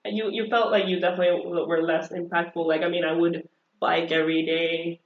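A woman with a mid-range voice, chatting in an upbeat, animated way as a guest. She peppers her speech with fillers and laughs often. Her voice sounds roomy and dull, like a lo-fi recording. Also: lowish voice, a little fast. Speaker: interview guest. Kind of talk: casual conversational interview.

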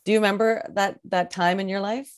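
A woman's voice: medium pitch, steady tone, brisk. A woman hosting a podcast interview, chatty and gushing with enthusiasm. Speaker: podcast host.

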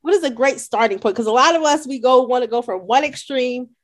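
A woman's voice: high-pitched, fairly quick. A woman hosting a podcast interview, affirming her guest and summing up her points reflectively. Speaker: podcast host. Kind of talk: interview conversation.

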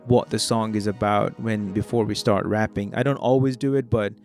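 A man's voice: lowish voice, normal speed, gravelly, soft background music. A young man from India, a rapper and producer whose first language is Hindi, speaking in a casual interview with a mixed Indian English accent and lots of "you know" and "like". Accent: Indian English with British and American traces. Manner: relaxed and conversational, fillers like "you know" and "like". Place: Oklahoma.

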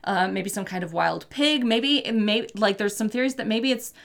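Woman co-host, casual explainer, fillers and hedges, gravelly creak. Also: mid-range voice, moderate pace. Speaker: podcast co-host. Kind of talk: casual chat about mythology.

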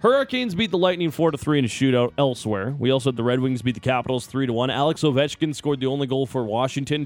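A man co-hosting a sports show, running through hockey scores in slangy, casual banter. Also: mid-range voice. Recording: music playing behind the voice. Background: music bed.

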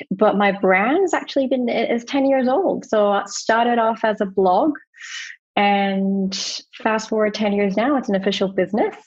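A woman in her thirties who grew up in Dubai, a dietitian chatting as a guest with plenty of ums and quick asides. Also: medium pitch, muffled recording. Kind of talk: casual interview chat.

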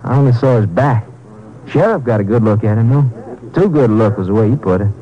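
Actor doing a nervous eager hotel clerk in a muffled old radio play. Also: male voice, mid-range voice, animated, fast, warm tone, lightly acted. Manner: hesitant, deferential. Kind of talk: 1950s radio drama acting.

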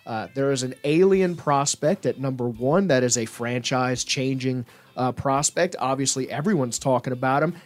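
A man hosting a sports podcast in a studio, talking conversationally and somewhat haltingly with enthusiastic emphasis. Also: medium pitch. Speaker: podcast host. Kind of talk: lively sports podcast discussion.

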